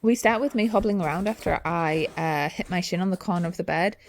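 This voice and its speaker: A young woman, chatting frankly to camera in a vlog with lots of fillers, her voice a bit croaky from being unwell. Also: on the low side, brisk, slight background noise. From New Zealand. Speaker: book vlogger. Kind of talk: casual chat to camera.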